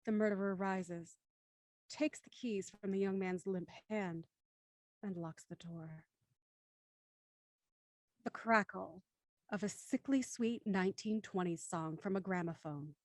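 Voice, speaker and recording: A woman's voice: medium pitch, no room sound. Female radio-drama narrator, dry sound. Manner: measured and suspenseful.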